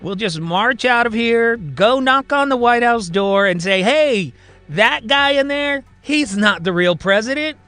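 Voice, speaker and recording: A man's voice: extremely high pitch, expressive, measured pace, pushed and bright, no echo, faint music behind, pitched above the performer's own voice, exaggerated voice. A man voicing a reluctant, exasperated woman doctor in dramatised podcast storytelling. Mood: sarcastic.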